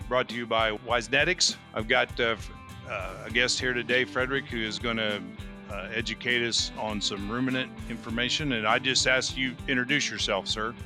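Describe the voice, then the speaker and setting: A man's voice: medium pitch, fairly even intonation, normal speed, music in the background. A man hosting a podcast, introducing his guest and asking questions with frequent hesitant fillers. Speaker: podcast host.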